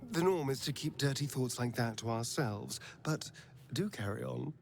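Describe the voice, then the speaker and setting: An actor playing a wry, haughty aristocrat in a fantasy game, arch and teasing in a theatrical way. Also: male voice, mid-range voice, moderate pace, vocal fry, slight background noise, close to an everyday voice.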